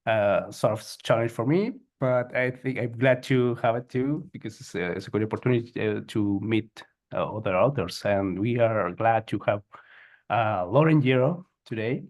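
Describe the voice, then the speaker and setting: Male host, Spanish first language, low pitch, hesitant and halting. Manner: hesitant, many uhs. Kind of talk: casual interview talk.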